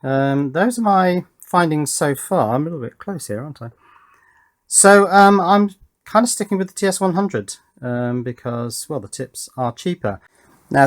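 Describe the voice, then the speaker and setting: A man's voice: medium pitch, vocal fry. Man casually thinking aloud at work. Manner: unscripted, thinking aloud as he works. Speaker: host of an electronics build channel. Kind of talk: casual hands-on workbench commentary.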